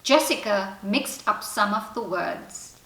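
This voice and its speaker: A woman reading a children's storybook aloud in an expressive, animated, deliberate way. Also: medium pitch. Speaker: teacher reading a children's picture book aloud. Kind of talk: expressive scripted storybook narration. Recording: roomy echo, distant from mic.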